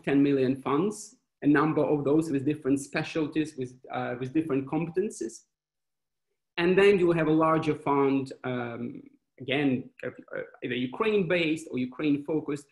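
A man's voice: a little high, darkish tone. A man in his thirties, a venture fund panelist on a webinar video call, talking animatedly with many fillers and restarts. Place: small indoor room with wall clock. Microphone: laptop webcam video call. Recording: echoey room on a video call.

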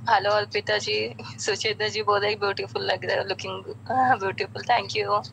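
Creaky-voiced woman, even intonation. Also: medium pitch, moderate pace, rough recording. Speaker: live-stream host. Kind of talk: casual chat, reading viewer comments. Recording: faint background noise on live call.

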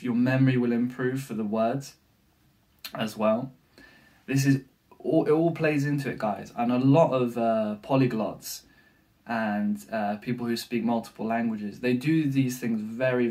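A young man with an English accent, giving animated to-camera language-learning tips as a coach in a small room. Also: medium pitch, far from the mic. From England. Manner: animated, gestures with hands, many fillers. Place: small room with bookshelf, indoors.

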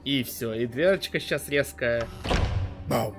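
A young male streamer talks casually in a reaction style. His voice is mid-pitched and close to the mic. A faint soundtrack plays behind him. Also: measured pace, vocal fry, music in the background. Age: young adult. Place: indoor streaming setup. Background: faint soundtrack of the cartoon.

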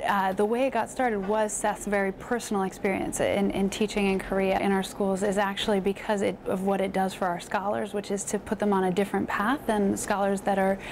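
A woman's voice: medium pitch, a little hiss or hum. A woman in her thirties speaking in an interview at a school, hesitant with many ums, on a lapel mic. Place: school hallway indoors.

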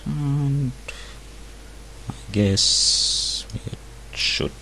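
Guy with a low gravelly voice thinking out loud over a game, super slow, faint music behind. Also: noisy background. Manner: slow, hesitant, thinking aloud with many hums. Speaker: let's play host. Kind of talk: casual gameplay commentary.

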